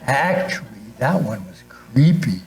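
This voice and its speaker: A man's voice: mid-range voice, sing-song, a little slow. An older man in an amateur play reading, playing a droll, grumbling husband with wry comic timing. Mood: casual, amused. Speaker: amateur readers theatre performer. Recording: echoey room, faint background noise.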